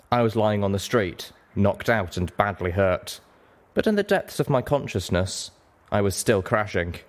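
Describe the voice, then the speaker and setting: Deep-voiced man narrating a story. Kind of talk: expressive audiobook narration.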